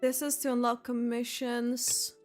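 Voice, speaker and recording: A woman's voice: on the high side, little pitch movement, measured pace, soft background music. A woman streaming a video game, giving casual reaction commentary in a rambling way, often trailing off mid-thought.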